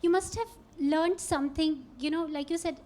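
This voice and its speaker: A woman's voice: sits high, normal speed, dark tone. A woman putting questions in a conversational interview style.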